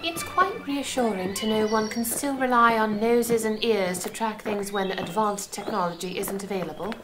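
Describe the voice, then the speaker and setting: An actress playing a level-headed, practical adventurer companion in an audio drama, speaking in a dry, formal, matter-of-fact way. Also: female voice, mid-range voice, moderate pace, over music, lightly acted, rough recording. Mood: dry, doubtful.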